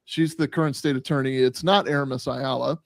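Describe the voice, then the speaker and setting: A man's voice: medium pitch, fairly even intonation, brisk, vocal fry, no echo, clean studio sound. A man on a podcast casually explaining a news story, retelling exchanges as quoted dialogue. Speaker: podcast panelist.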